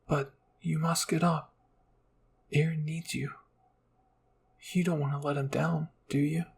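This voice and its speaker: A man's voice: a little high, level tone, measured pace, gravelly, a little hiss or hum. A man voicing the spirits of fallen squad mates, earnest and urging, in a dramatic fan fiction roleplay. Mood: gentle urging.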